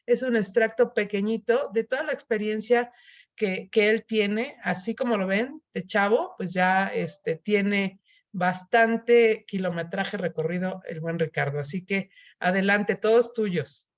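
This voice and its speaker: A woman's voice: mid-range voice, a little slow. Woman introducing a speaker. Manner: reads a prepared bio, then speaks casually. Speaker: moderator. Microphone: video call. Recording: muffled online call audio.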